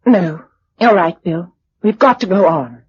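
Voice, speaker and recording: An actress playing a plucky, compassionate survivor of a disaster, earnest and well-spoken, in a vintage radio play. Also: female voice, on the low side, expressive, unhurried, a little mellow, breathy edge, heavily acted. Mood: resigned. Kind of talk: vintage radio drama acting. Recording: muffled, noisy old radio drama recording.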